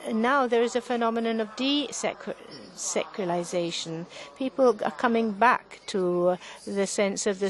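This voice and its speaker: Slow, halting woman interpreter. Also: medium pitch. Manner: halting, frequent restarts and self-corrections. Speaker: conference interpreter. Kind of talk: simultaneous interpretation of a panel talk.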